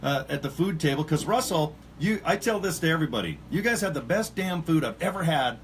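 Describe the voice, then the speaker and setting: A man's voice: a little high, animated, a little fast, fairly bright tone, a little hiss or hum. A man hosting a casual radio interview, talking in a rambling, chatty way with lots of fillers. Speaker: radio host.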